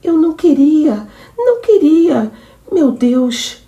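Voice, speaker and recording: A woman's voice: high voice, sing-song, slow, dark tone, voice pushed up, heavily acted. A woman reader voicing a jealous young woman who shoots her lover and then falls into hysterics. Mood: hysterical. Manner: menacing then frantic and sobbing.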